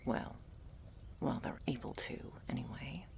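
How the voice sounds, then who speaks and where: A woman playing a gruff, world-weary female monster hunter in an ASMR audio roleplay, curt, sardonic and bossy as she addresses the listener. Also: lively intonation, unhurried, full character voice. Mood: dry, matter-of-fact. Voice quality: low, husky, breathy with gravelly creak.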